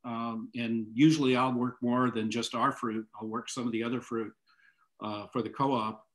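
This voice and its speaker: An older man, a guest on a video call from home, explaining casually with hesitant pauses. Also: mid-range voice, steady tone, low-quality recording. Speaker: winery owner, interview guest. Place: home room with bookshelves. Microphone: video call microphone.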